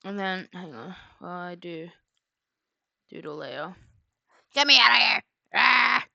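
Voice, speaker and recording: A woman's voice: on the low side, lively intonation, measured pace, vocal fry, sharp tone, no room sound, muffled recording. A woman livestreamer chatting casually and rambling, half muttering to herself, close to a streaming mic. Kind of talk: casual livestream chat while drawing.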